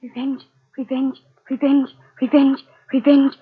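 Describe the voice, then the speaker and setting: A woman's voice: high-pitched, little pitch movement, a little slow. A woman playing a young girl driven mad, obsessively chanting for vengeance in old radio horror style. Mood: crazed, obsessive. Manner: chanting one word over and over. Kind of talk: 1940s radio horror acting. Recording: thin phone-like old radio recording.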